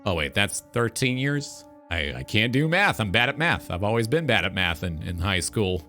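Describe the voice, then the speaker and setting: A man in his twenties hosting a talk show, speaking in an earnest, philosophical, halting monologue. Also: on the low side, sing-song, pushed and bright, soft background music. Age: 20s. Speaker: talk show host, game streamer.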